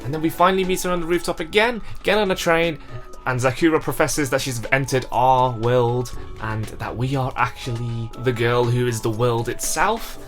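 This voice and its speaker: A man's voice: mid-range voice, normal speed. A man giving an informal, conversational visual novel review with wry asides. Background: music.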